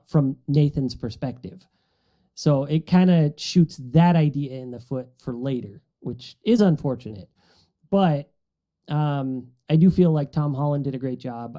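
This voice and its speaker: A man talking casually as a podcast panelist in a discussion among friends, on a dull, muffled recording. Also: a little high.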